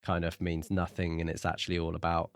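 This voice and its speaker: A man's voice: sits low, completely flat delivery, fairly quick, no room sound, studio quality. A man co-hosting a podcast and talking casually about a book. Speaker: podcast co-host.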